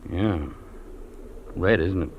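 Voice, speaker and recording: An actor playing a stern, unyielding Mountie sergeant, speaking curtly in 1950s radio drama style. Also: male voice, low-pitched, takes it slowly. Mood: guarded. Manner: curt, stern, blunt. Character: hard, unyielding Mountie sergeant, adult man. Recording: dull, noisy old radio recording.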